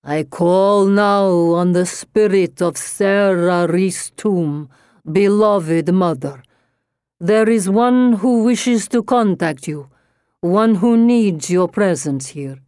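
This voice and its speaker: A woman voicing a theatrical female spirit medium in a storybook, with a deep growl and slow, portentous delivery. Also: darkish tone, smooth, dry recording, studio quality, close to an everyday voice. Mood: commanding, incantatory. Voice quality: deep, growling low register. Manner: solemn, slow, portentous incantation.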